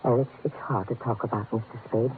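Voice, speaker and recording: A man's voice: mid-range voice, fairly even intonation, fairly quick, natural voice. An actor playing an anxious, rueful, mild husband of fifty in an old radio drama, hesitant and apologetic, repeating his words. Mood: nervous, ashamed. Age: 50s. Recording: tinny, noisy old radio broadcast.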